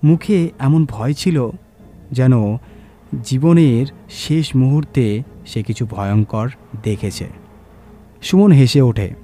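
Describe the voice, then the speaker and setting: A man's voice: mid-range voice, slow, pauses often, dark tone, faint music behind. A man reading a scripted horror story as narrator, in a dramatic storytelling style.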